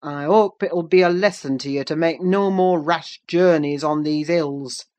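A stern master of a lonely farmhouse, acted out by a woman narrator, curt and commanding. He is surly and unwelcoming, a storybook tyrant with a low-set voice for a female reader. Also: measured pace, darkish tone.

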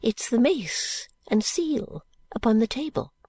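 A frail old woman, rambling and courtly, whose voice leaps up high and then sinks into hushed, secretive whispers, as a woman reads her. She speaks in a lively, up-and-down way and sounds very close and dry. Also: slow pace, breathy edge, no echo, heavily acted. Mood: confiding. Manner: high fluttering swoops dropping into whispers.

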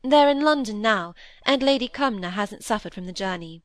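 A woman's voice: mid-range voice, lively intonation, moderate pace, no room sound, studio quality, close to an everyday voice. A woman doing a cool, curt young woman. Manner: curt, clipped.

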